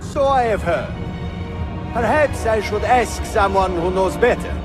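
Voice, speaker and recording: A cold princely schemer from a game. He speaks in measured, condescending phrases and keeps his calm. Music plays clearly behind him. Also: high-pitched. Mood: cool menace. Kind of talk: dramatic video game acting.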